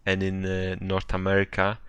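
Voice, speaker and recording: A young man speaks with a Polish accent in a resonant, very low voice. He stays flat and even, giving short, dry answers. The sound is dry and close, with no room echo. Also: moderate pace, smooth. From Poland. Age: young adult. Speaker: podcast guest. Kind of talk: casual chat.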